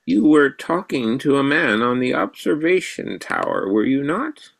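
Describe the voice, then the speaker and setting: A man playing a grave, self-assured old mathematician in a radio drama, measured and probing. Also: mid-range voice, very animated, vocal fry, exaggerated voice. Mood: grave, brisk. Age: older.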